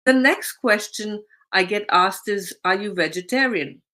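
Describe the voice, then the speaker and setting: A woman from India with an Indian English accent, explaining cultural questions to camera in a measured, explanatory way. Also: mid-range voice, pushed and bright. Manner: measured, explanatory, occasional fillers. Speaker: vlogger.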